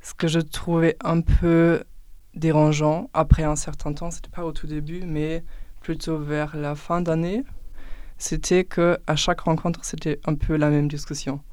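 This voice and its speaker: A woman's voice: deep voice, flat delivery, a little slow, a little mellow, no echo. A woman whose first language is German, speaking French in a casual group discussion, thoughtful and hesitant, often restarting words. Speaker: discussion participant.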